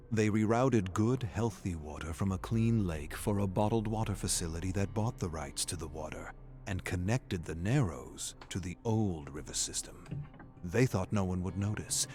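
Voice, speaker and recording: A man reading a scripted story as a dramatic narrator, grave and deliberate. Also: sits low, a little mellow. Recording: music playing behind the narration. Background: background music.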